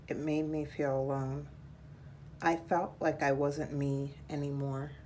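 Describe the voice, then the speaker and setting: A woman reading a children's picture book aloud in a measured, deliberate storytelling style. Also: low voice, little pitch movement, darkish tone, slight background noise.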